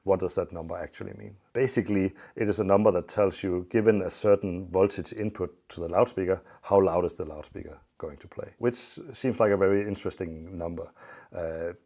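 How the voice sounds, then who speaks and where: A man giving an unscripted technical explanation as an expert, in a measured, matter-of-fact way with some hesitation. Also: sits low, fairly even intonation, gravelly, dark tone. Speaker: loudspeaker company expert.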